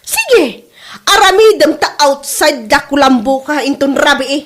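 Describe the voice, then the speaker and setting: A woman's voice: high voice, animated, measured pace, a bit bright. A woman acting the part of a fed-up, sarcastic young wife who nags, in a comedy drama. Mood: nagging.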